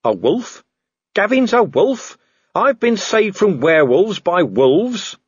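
A man's voice: a little high, very animated, moderate pace, breathy edge, no echo, highs cut off, voice pushed up. A man voicing a sardonic, exhausted older police commander with an irritable, growling manner, in an audiobook. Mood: weary disbelief. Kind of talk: audiobook character acting.

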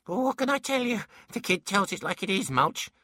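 A man's voice: high-pitched, sing-song, moderate pace, bright, forceful tone, no echo, clean studio sound, voice pushed up, heavily acted. A man voicing a small sarcastic male pixie smuggler in an audiobook, with a snide, needling manner. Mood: mocking.

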